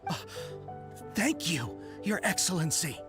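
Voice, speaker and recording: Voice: mid-range voice, big pitch swings, slow. An actor playing a devoted, hot-blooded young soldier in a video game, speaking earnestly and with formal deference. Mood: surprised. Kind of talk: scripted video game dialogue. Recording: game music behind the voice.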